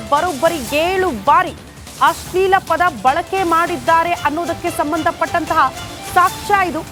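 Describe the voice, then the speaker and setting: A woman anchors a television news bulletin in a very high voice. She leans on key phrases for dramatic emphasis. Faint music plays behind her. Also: a bit bright. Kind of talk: television news presenting, handing over to a reporter.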